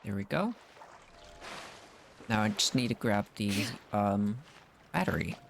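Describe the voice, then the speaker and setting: A man's voice: lowish voice, expressive, unhurried, vocal fry, a little hiss or hum. A man giving casual gameplay commentary as a streamer, thinking aloud and muttering to himself. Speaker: game streamer.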